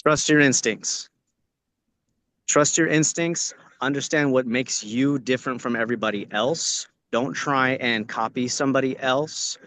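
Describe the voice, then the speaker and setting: Working actor, male, American. Bright, forceful tone, earnest emphatic delivery, casual Q&A. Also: mid-range voice, a little slow, dry recording. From Orange County, California. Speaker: working actor answering questions. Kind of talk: casual spoken advice to acting students. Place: outdoors on location, on a video call.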